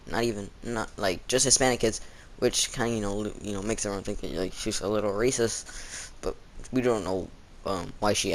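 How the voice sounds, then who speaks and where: A teenage male vlogger chatting casually as he tells a rambling story, acting out the people he quotes. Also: fairly low pitch. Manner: rambling, acts out quoted exchanges.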